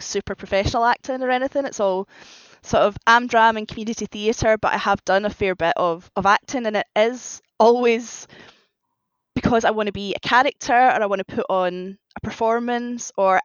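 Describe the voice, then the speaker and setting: A woman's voice: mid-range voice, no echo. A woman talking casually as a guest writer on a podcast, with slightly dull, lo-fi sound. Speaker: guest author on a fan fiction podcast.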